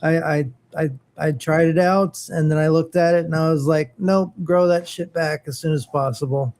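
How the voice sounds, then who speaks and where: Middle-aged male co-host, slightly high voice, eager and rambling, informal geek talk. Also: steady tone, normal speed, a little mellow. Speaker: co-host of a morning show. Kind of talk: casual geeky chat.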